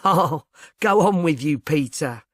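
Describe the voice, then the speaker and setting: A man's voice: on the high side, expressive, takes it slowly, fairly bright tone, no echo, studio quality, exaggerated voice. Gossipy young maid, played by a man. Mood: coy.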